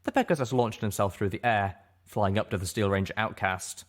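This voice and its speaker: A man narrating a dramatic first-person story in an expressive, theatrical audiobook manner. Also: on the low side, a little fast.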